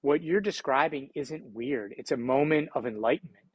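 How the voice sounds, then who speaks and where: A man with a Philly accent talks as an interview guest. His voice sits at an average pitch, in a dry, close recording. He is earnest and reflective, phrasing things to motivate. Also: normal speed, husky, dry recording, lo-fi sound. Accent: Philadelphia. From Philadelphia, United States. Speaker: podcast guest, entrepreneur. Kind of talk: conversational interview.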